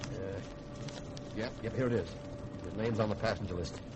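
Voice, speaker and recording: Clear hiss from an old radio recording surrounds a man playing an easygoing male ship's officer. His voice is lowish, his delivery casual and wry in 1950s drama style. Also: normal speed, lightly acted. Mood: matter-of-fact.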